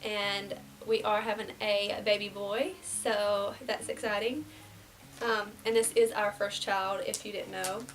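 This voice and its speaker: A young woman in her twenties, slightly nasal from a cold, chatting casually through a get-ready-with-me beauty vlog and dropping to soft half-whispers as she works. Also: mid-range voice, level tone, a little slow, distant mic, slight background noise, poor audio. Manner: casual and rambling, drops to near-whispers while applying makeup. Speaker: beauty vlogger. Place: small indoor room before a plain backdrop.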